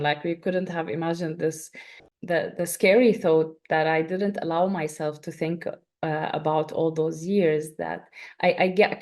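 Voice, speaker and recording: Gravelly low-voiced woman from Syria. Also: dark tone. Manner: reflective, many fillers and restarts. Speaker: interviewee, writer. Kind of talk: emotional interview answers.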